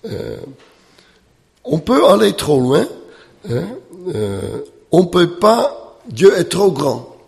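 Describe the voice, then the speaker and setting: Slow halting man, non-native, reverberant hall. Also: on the high side, wildly swooping pitch, creaky, a little mellow. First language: not French. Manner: slow, halting, with frequent "hein" and "euh". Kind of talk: lecture on the Bible.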